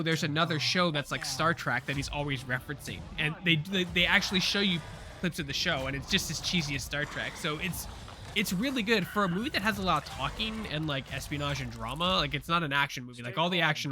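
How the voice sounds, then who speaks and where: A man co-hosting a pop culture show, chatting casually in a rambling, animated way with lots of fillers and restarts. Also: fairly high pitch. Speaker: co-host.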